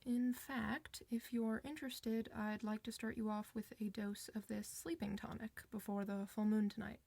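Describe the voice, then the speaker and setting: A woman's voice: medium pitch, level tone, gravelly, darkish tone, slightly rough, no echo. A woman playing a friendly, reassuring doctor for werewolves in an informal, one-sided ASMR roleplay. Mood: calm, reassuring. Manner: reassuring, informal, hesitant pauses.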